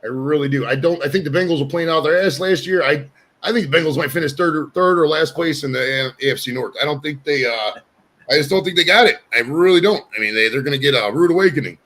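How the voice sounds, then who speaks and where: A brash man in his forties hosts a podcast from his home studio. He talks quickly and rambles, cursing freely, and his voice sits a touch higher than most men's. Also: fairly bright tone. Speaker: podcast host. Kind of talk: casual chat.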